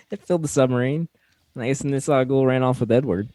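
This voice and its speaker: A man's voice: medium pitch, mellow, no echo. A man co-hosting a podcast in casual chat, recapping a show with wry, dry jokes. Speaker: podcast co-host.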